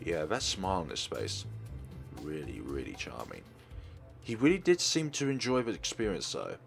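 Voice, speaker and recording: A man's voice: low voice, huge pitch swings, moderate pace, vocal fry. A man hosting a let's play, reading a visual novel's text aloud and dropping casual asides between the lines. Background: faint game music.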